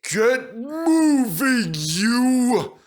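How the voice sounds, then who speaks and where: A man's voice: very high-pitched, big pitch swings, extremely slow, pitched above the performer's own voice, exaggerated voice. Male reader as a bad-tempered diesel engine for a children's story, growling and blunt. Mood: impatient. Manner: growling, barking. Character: gruff, grumpy male diesel locomotive.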